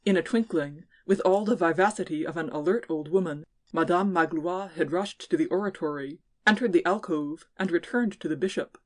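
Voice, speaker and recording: A woman's voice: on the low side, moderate pace, hardly any pauses. A woman giving scripted narration of classic fiction as an audiobook narrator.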